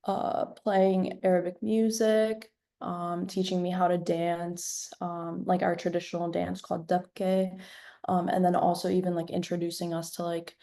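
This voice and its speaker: A woman's voice: lowish voice, flat delivery, measured pace, warm tone. A young woman, a university student, answering interview questions in a hesitant way with many fillers. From United States. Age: young adult. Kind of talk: casual interview.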